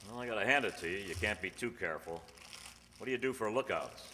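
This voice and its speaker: A man's voice: lowish voice, lively intonation, fast talker, bright, forceful tone, slight background noise. A man playing a tough undercover police detective in an old-time radio crime drama, terse and hard-boiled. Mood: wary. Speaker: actor and first-person narrator in a radio drama. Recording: echoey hall, music behind.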